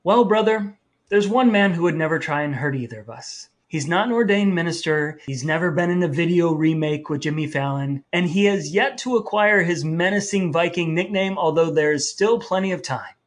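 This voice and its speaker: A man's voice: on the high side, moderate pace. A man co-hosting a casual podcast, talking in a playfully wordy, mock-formal way. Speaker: podcast co-host. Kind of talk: casual podcast talk between brothers.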